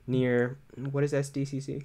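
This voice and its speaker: A man's voice: mid-range voice, flat delivery, normal speed, dark tone. A man co-hosting a casual podcast, chatty and agreeable. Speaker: podcast co-host. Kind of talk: casual chat.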